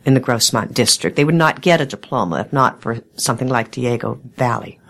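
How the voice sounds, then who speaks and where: Hoarse woman hosting a talk show. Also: very deep voice. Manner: steady, measured news-style delivery. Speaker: host. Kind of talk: local public affairs radio talk.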